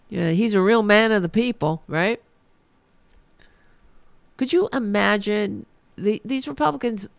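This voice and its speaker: Hoarse woman ranting, swears a lot, sarcastic, talks slow, dry close sound. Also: lowish voice, no room sound. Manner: heated, profane, sarcastic. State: losing her voice. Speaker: podcast host. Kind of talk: angry political rant.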